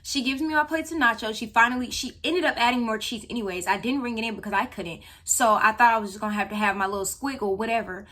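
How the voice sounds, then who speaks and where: A woman of nineteen telling a story about a job to viewers in a chatty, animated way. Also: a little high, quick pace, hardly any pauses. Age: young adult. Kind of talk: casual storytime.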